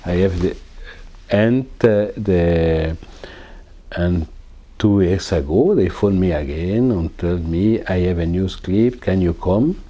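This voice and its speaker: Slow, deep-voiced Swiss man searching for words. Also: mellow. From Italian-speaking Switzerland. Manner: halting, searching for English words, frequent uh fillers. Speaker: cinematographer. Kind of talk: recorded interview.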